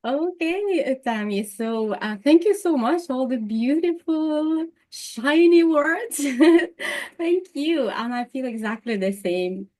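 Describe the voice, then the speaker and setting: A woman's voice: sits high, expressive, slow pace, darkish tone. Woman, Lithuanian accent, interview guest, laughs often, repeats words, video call. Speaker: guest, nutritional therapist. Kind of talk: casual interview chat. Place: home in Dublin, video call.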